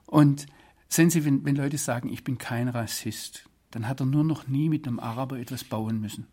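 Husky man, earnest and deliberate. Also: medium pitch, a little mellow. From Swabia, Germany. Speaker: interview guest. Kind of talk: reflective interview answers.

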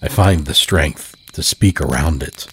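With faint crickets and night ambience behind, a man narrates dark fiction. His voice is very deep and his reading very slow, solemn and measured. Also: a little hiss or hum. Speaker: storyteller. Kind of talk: scripted horror fiction narration.

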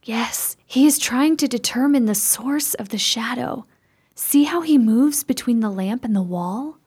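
A woman playing a bold, curious, strong-willed sister in a radio chiller, with formal, dramatic diction and a husky voice. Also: fairly high pitch, measured pace, darkish tone. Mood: tense, awed. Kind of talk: theatrical radio play acting.

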